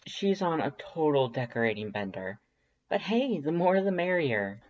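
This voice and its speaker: A reader voicing a friendly, slightly awkward homeowner, casual, hesitant and gently teasing, in a fan fiction audiobook. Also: medium pitch, sing-song, normal speed, highs cut off, pitched above the performer's own voice, close to an everyday voice.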